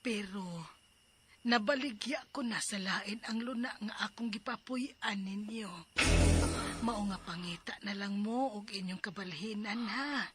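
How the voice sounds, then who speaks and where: Actress as hushed, breathy older Cebuano aunt. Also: female voice, medium pitch, measured pace, music in the background, natural voice. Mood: apologetic, firm. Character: apologetic but unyielding older aunt, a landowner. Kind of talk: radio drama acting. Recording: faint noise, distant ambience.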